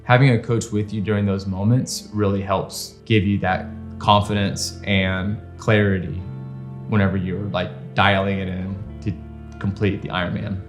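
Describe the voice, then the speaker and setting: Low-voiced man in echoey interview. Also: flat delivery. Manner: earnest, measured, reflective. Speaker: triathlete interviewee. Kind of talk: documentary interview. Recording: echoey room under music.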